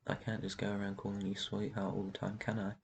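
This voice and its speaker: An ancient vampire master, acted out by a man, with a low voice full of gravelly creak. He speaks in a gentle, protective and soothing way, as in an intimate roleplay ASMR. His delivery stays very even, with slight noise behind it. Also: quick pace, heavily acted. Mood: reassuring, protective. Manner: soft shushing, reassuring, tender endearments. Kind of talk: roleplay ASMR, intimate one-sided dialogue.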